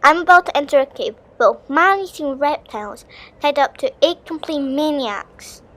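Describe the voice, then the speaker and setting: A man's voice: very high voice, animated, normal speed, sharp tone, pitched above the performer's own voice, heavily acted. A man voicing a wry, gloomy young Viking boy in a storybook audio play, talking with dry sarcasm. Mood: gloomy sarcastic.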